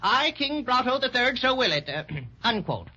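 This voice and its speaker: Pompous fairy-tale king, high and swooping, haughty and dithering, old lo-fi radio sound. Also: very animated, moderate pace, bright, forceful tone, slightly rough, exaggerated voice. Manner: haughty, blustering, hesitant. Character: pompous, dithering, easily offended king of inkblot creatures. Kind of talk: 1930s children's radio acting. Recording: dull, lo-fi 1930s radio recording.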